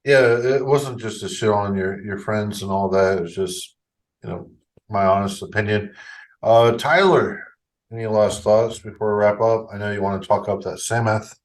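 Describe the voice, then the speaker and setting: Kinda nasal podcast host dude, low-ish voice, laid back chat. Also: male voice, moderate pace, low-quality recording. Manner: easygoing, casual, wraps up the show.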